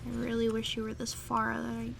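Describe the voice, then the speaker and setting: A woman's voice: on the high side, monotone, a little fast, vocal fry. A woman playing a jealous, pouty young gamer girlfriend in a casual, half-improvised roleplay audio. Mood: exasperated. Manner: pouty, grumbling, irritated. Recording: noisy room, clattering computer keyboard. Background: loud keyboard typing and background noise.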